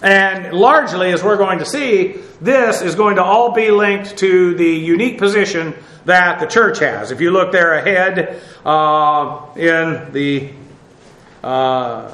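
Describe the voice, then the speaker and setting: A man with a notably high voice teaches through a sermon verse by verse, pausing often on fillers and restarting his phrases. He is recorded in a reverberant church hall. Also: a bit bright. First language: English. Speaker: pastor. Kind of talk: expository sermon. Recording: echoey room.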